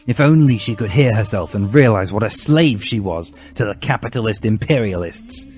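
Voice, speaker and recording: A man's voice: lowish voice, animated, moderate pace. A man narrating a comic story as a dramatic reading, with solemn, overwrought emphasis. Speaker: narrator, reader. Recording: loud music behind the voice.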